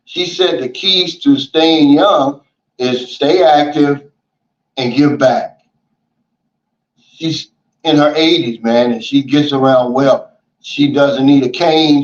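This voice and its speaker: Easygoing male livestream host with a slightly high voice, chatty and folksy; roomy, dull lo-fi sound. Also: slow. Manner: relaxed, folksy, often says "man" and "you know". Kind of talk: casual livestream chat, reading viewer comments.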